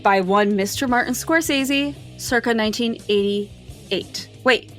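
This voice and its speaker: A woman's voice: fairly high pitch, measured pace, a bit bright, soft background music. A woman co-hosting a casual film podcast, chatting in a playful, emphatic way. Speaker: podcast co-host.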